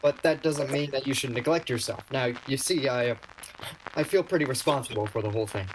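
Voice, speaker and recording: An actor playing a polite, slightly awkward male shop clerk in a radio play, speaking formally and haltingly. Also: mid-range voice, noisy background, lightly acted. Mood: solicitous. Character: courteous, awkward male shop clerk, gently wry suitor.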